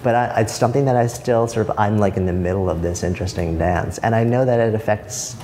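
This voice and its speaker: A man's voice: on the low side, fast talker, warm tone. A man hosting an interview, speaking thoughtfully and openly about his own struggles. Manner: thoughtful, open, many fillers. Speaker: podcast host. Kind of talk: reflective interview talk. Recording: long reverb with faint noise.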